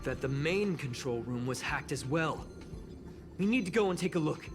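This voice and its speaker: An actor playing an excitable young space station investigator who puzzles aloud in scripted game dialogue. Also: medium pitch, moderate pace, lightly acted. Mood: puzzled, uneasy. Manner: animated, pondering aloud. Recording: background music under dialogue.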